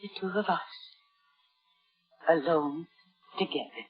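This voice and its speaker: An actress playing a timid, lovestruck spinster of sixty in a vintage radio drama, hesitant and dramatic. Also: female voice, on the low side, takes it slowly, breathy edge. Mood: uneasy, foreboding. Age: 60s. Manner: breathless, hesitant, dramatic diary narration. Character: timid, lovestruck, naive sixty-year-old spinster keeping a diary. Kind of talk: 1950s radio drama acting. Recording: muffled vintage radio broadcast with music.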